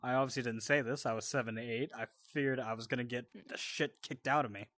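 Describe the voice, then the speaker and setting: A man casually telling a personal story in an animated, sweary way, often wandering off into side details. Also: mid-range voice, fast talker, no room sound. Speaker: storyteller and co-host.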